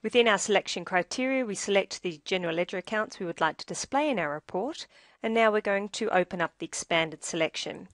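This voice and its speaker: Low-pitched woman narrating a software tutorial, dry sound. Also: dry recording. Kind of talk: scripted instructional narration.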